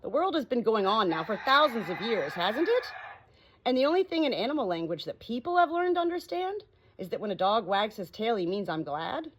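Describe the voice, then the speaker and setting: Woman voicing an opinionated, lively old storybook parrot. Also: on the high side, fairly quick, voice pushed up. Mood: scornful, indignant. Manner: indignant, boastful, exclamatory. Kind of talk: audiobook character dialogue. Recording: clearly noisy home recording.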